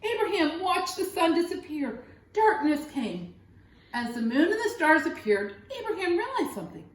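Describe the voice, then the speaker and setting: A woman teacher reading a children's story aloud with expressive, dramatic phrasing and questions to young listeners. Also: extremely high pitch, normal speed, reverberant.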